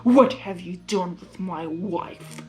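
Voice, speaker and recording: A woman's voice: fairly low pitch, slow, dark tone, pitched below the performer's own voice. A woman reads a male pony guard captain from a storybook, a protective husband. The delivery is stern and earnest, with music behind it. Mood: stern, worried.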